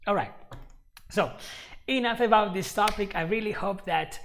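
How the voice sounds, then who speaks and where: A man's voice: high voice, normal speed, gravelly, a bit bright, a little hiss or hum. A man with an Italian accent teaching English on a live stream, patient and repeating himself as he explains.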